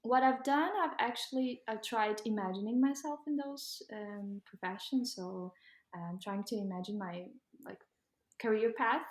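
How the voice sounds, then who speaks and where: A young Ukrainian woman with a Ukrainian accent, answering interview questions casually with many pauses and ums. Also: mid-range voice, reverberant. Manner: hesitant, many ums, laughs while talking. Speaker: guest.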